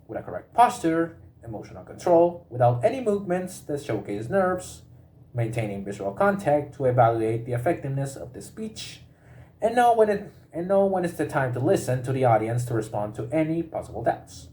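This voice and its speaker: Man reading informational text aloud, haltingly. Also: a little high, very animated, dark tone. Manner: halting delivery, often breaking off mid-word and repeating it.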